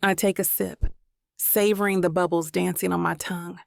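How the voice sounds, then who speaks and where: A woman's voice: on the low side, steady tone, normal speed, creaky, no echo, studio quality. A woman reading a first-person romance audiobook as scripted narration.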